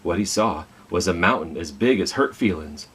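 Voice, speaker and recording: A man hosts a children's storytime, reading aloud with big swings in pitch. His voice is husky and fairly low, and he tells the tale dramatically, pausing to put questions to young listeners. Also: animated, poor audio. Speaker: library storytime host reading a picture book. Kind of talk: expressive children's read-aloud.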